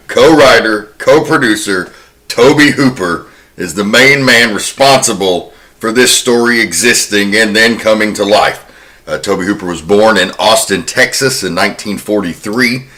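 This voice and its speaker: A man's voice: lowish voice, bright, forceful tone. A man hosting a horror podcast, introducing and narrating film history in a conversational way. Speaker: podcast host.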